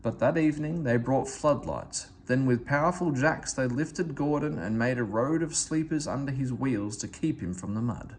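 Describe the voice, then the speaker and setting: A man reading a children's story aloud in an expressive, dramatized way. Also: medium pitch, normal speed, hardly any pauses, slight background noise. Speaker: storybook reader.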